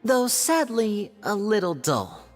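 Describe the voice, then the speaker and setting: An actress playing a poised young female magician in a video game, speaking in a measured, teasing, theatrical way. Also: mid-range voice, sing-song. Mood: accusing. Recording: game audio with reverb and music.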